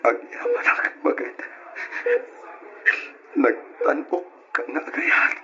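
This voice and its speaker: An actor with an Ilocano accent playing an unfaithful, remorseful husband in an old radio drama. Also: male voice, takes it slowly, sharp tone, muffled recording, slight background noise. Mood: remorseful, pleading. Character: unfaithful husband Freddy, remorseful after motorcycle crash.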